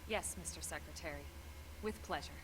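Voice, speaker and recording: A woman's voice: deep voice, brisk, noisy background, natural voice. A woman playing a composed, no-nonsense military team leader in a video game, businesslike and self-assured. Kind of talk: English video game voice acting.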